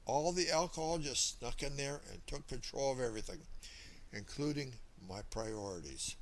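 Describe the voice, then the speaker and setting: A man's voice: medium pitch, moderate pace, slight background noise. A man narrating a first-person memoir as an audiobook reader, telling the story from a script.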